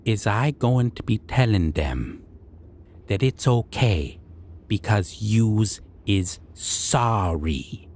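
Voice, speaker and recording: A man's voice: on the low side, animated, a little mellow, a little hiss or hum. A man voicing a stern, civilized goblin king who speaks in odd broken grammar, like a menacing judge in a fantasy roleplay audio drama. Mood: mocking, grave. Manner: broken, unusual grammar with drawn-out questioning.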